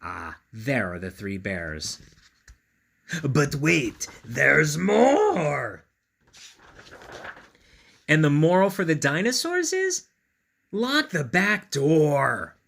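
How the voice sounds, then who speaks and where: A man reading a picture book aloud to children in a playful, expressive way, with chatty asides to his young listeners. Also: a little high, very slow, gravelly, long pauses.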